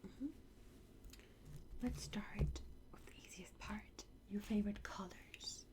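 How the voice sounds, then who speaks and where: A woman whispering gently and reassuringly in a breathy voice, close to the microphone, playing a calm, courteous trainer in an ASMR roleplay. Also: medium pitch. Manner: slow, gentle, reassuring, with soft pauses and hums. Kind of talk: soft whispered ASMR roleplay, addressing the listener. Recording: close whisper with clear background noise.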